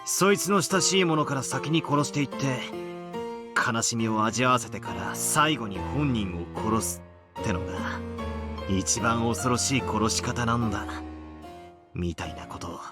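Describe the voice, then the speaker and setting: An actor playing a brash young amateur detective in a visual novel, speaking in a rough, casual, dramatic way. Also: male voice, medium pitch, lively intonation, a little fast, loud music behind the voice, lightly acted. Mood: brooding, reasoning. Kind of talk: Japanese visual novel voice acting.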